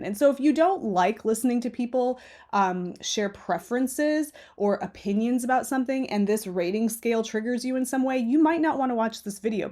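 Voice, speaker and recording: Woman knitting host, stuffy-nosed and nasal, chatty, opinionated, mellow tone. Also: fairly high pitch. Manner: chatty and opinionated, often asking "okay?". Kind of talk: casual screen-share commentary.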